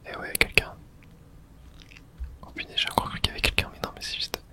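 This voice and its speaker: A person whispering a casual ASMR video game playthrough, muttering to themself as they play. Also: fairly quick. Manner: whispering throughout; casual swearing, muttering to self.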